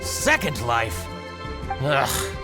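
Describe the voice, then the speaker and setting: A man voicing a petty, theatrical merchant obsessed with a rival in a video game, grumbling with exaggerated, drawn-out emphasis. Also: mid-range voice, wildly swooping pitch, loud music behind the voice, full character voice. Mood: indignant.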